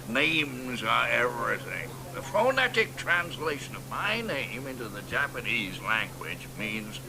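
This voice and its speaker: A boastful, crude old poet. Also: male voice, mid-range voice, very animated, slow, gravelly, background noise, full character voice. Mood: mocking. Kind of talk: radio drama acting.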